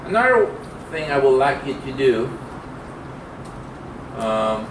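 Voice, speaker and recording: A middle-aged man teaching programming in an informal lecture, speaking haltingly as he thinks aloud while demonstrating. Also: medium pitch, big pitch swings. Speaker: programming instructor. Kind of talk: informal classroom lecture with live coding demo. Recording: roomy, distant mic, clear background noise.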